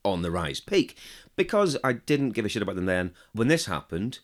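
A man's voice: lowish voice, sing-song, moderate pace. A man in his forties co-hosting a podcast, bantering and prompting his partner with questions. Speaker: podcast co-host. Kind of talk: casual chat.

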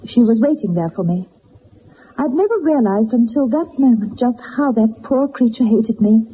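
An actress playing an earnest, distressed young governess who speaks formally and hesitantly in a radio drama. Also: female voice, on the high side, animated, normal speed, slightly rough, highs cut off. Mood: haunted, distressed. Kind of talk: dramatised detective radio play.

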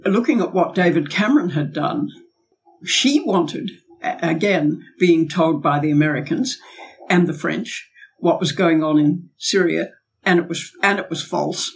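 A woman's voice: fairly low pitch, a little slow, gravelly, slightly rough, rough recording. A woman, a former diplomat and academic, speaking as a guest in a podcast interview and arguing her points emphatically with rhetorical questions. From Australia.

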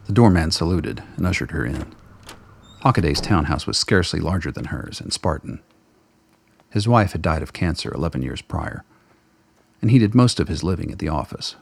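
A man's voice: a bass voice, lively intonation, normal speed, vocal fry, husky. A man reading scripted narration for a radio drama as its narrator.

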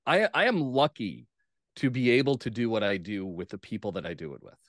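A man's voice: mid-range voice, lively intonation, a little fast, no room sound. Enthusiastic man in a close, dry recording. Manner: enthusiastic, punctuates points with "right?". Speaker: playwright and actor, podcast guest. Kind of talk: casual interview chat.

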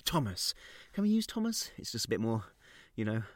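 A man's voice: mid-range voice, sing-song, a little fast, no room sound, studio quality, close to an everyday voice. Man acting an anxious, over-eager first-date suitor. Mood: nervous, eager. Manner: hesitant, flustered, apologetic. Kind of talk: naturalistic audio drama acting.

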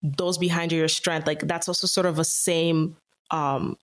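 A woman's voice: low voice, little pitch movement, normal speed. A young woman from Nigeria with a Nigerian accent mixed with Canadian, talking casually as an interview guest and filling her speech with "like" and laughs. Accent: Nigerian with Canadian mix. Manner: chatty, many "like" fillers, laughs often.

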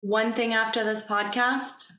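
A woman whose first language is Farsi, a podcast guest talking in a thoughtful, gentle way and laughing easily. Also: fairly high pitch, little pitch movement, measured pace, reverberant, highs cut off. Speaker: podcast guest, parent coach. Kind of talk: casual interview chat.

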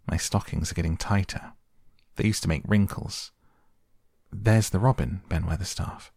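A curious, plain-spoken young girl from a storybook, read by a man in a low husky voice. The speech is simple and direct. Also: normal speed, a little mellow, no room sound, clean studio sound, heavily acted. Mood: frank, eager.

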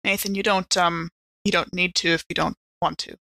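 Bright-voiced woman in a tabletop game, dry sound. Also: fairly low pitch, fairly even intonation, fairly quick, creaky, dry recording. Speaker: tabletop roleplay player. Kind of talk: casual improvised roleplay.

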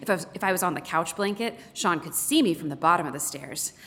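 A woman's voice: on the low side, animated, fast, fairly bright tone. A woman reading a book excerpt aloud as a guest reader. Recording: echoey hall with long reverb.

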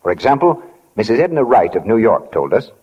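Old-time radio commercial guy, lowish voice, swoopy salesy delivery, muffled broadcast. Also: very animated, a little fast, a little mellow, husky. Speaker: commercial announcer. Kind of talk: 1940s radio advertising pitch. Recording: dull reverberant 1940 radio broadcast.

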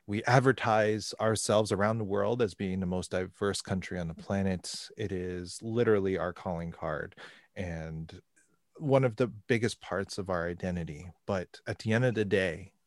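Deep-voiced podcast host, dry close sound. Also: male voice, no room sound. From Canada. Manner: measured, articulate question-asking. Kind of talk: interview questions.

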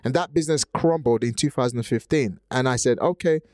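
A man from Nigeria with a Nigerian accent, English not his first language, hosting an interview in a relaxed way and often repeating words. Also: mid-range voice, brisk, dry recording, studio quality. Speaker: host. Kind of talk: conversational interview.

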